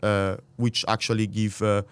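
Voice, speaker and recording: A man in his thirties with an Italian accent, a native Italian speaker, talking as a podcast guest in earnest, halting, restarted phrases. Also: fairly low pitch, little pitch movement, smooth, dry recording. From Italy. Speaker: podcast guest, startup accelerator director. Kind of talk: casual interview conversation.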